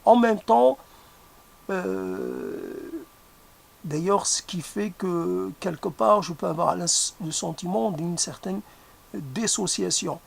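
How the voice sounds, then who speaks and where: A speaker whose first language is not French, musing aloud in a hesitant way, often pausing and restarting to search for the right word. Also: medium pitch, sing-song, creaky. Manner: hesitant, many fillers and restarts, searching for words. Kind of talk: reflective spoken musing.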